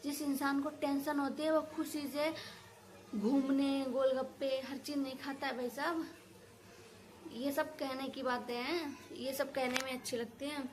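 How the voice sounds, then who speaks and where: A woman's voice: high voice, steady tone, a little slow, noisy background. A woman reaction vlogger speaking casually to her viewers, indignant and scolding, venting in repetitive colloquial phrases. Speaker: reaction video creator. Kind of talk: casual opinionated rant to viewers.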